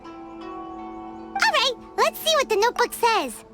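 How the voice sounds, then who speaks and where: A woman voicing a tiny, excitable fairy companion who refers to herself in the third person, in video game dialogue. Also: very high-pitched, slow pace, exaggerated voice. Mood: excited. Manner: speaks of herself by name, bouncy and curious. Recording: game audio with loud music behind.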